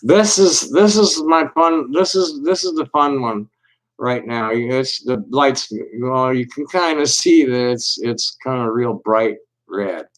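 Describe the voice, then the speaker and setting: Guy rambling on his own livestream, lots of stops and restarts, reads stuff out slow and careful. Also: mid-range voice, rough recording. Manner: halting and rambling, many restarts; reads aloud with deliberate slow pauses. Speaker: livestream host. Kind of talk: casual solo livestream chat.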